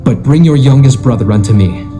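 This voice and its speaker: An actor playing a stern, commanding governor of ancient Egypt in a dramatised scripture reading, in formal archaic speech. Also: male voice, mid-range voice, brisk, close to an everyday voice. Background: music.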